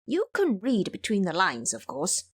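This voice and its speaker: A woman's voice: mid-range voice, wildly swooping pitch, normal speed, breathy edge. Woman as a placating pig demon disciple.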